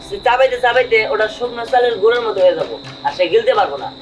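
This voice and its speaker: A confident child apprentice magician played by an actor speaks in rural Bangladeshi dialect. The delivery is declamatory and instructive, pitched in a middle range, with music clearly behind it. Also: lively intonation, racing, lightly acted, rough recording. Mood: confident, explaining. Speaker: actor in a family comedy film. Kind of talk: staged folk-tale film dialogue. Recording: music bed under dialogue.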